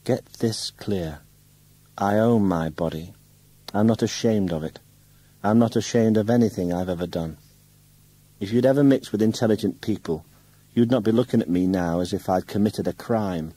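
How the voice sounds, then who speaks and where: A man reading a novel aloud, putting on the voice of a young woman who speaks with low, controlled contempt and turns to sharp accusation. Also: normal speed, dry recording, natural voice. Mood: cold. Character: bitter scornful young woman lashing out.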